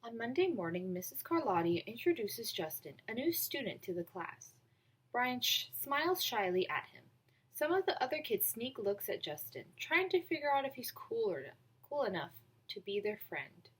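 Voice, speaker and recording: A woman reads a picture book aloud for children. Her delivery is expressive and very lively in pitch, moving up and down through each sentence. The voice has a soft husky edge. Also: moderate pace, rough recording. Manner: expressive storytelling with acted dialogue. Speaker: read-aloud volunteer reader.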